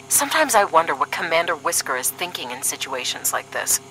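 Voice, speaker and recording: A woman's voice: low-pitched, sing-song, unhurried, bright, forceful tone, a little hiss or hum, exaggerated voice, rough recording. A woman acting as a sardonic, duty-minded young paramilitary agent in a scripted audio drama, dry and serious.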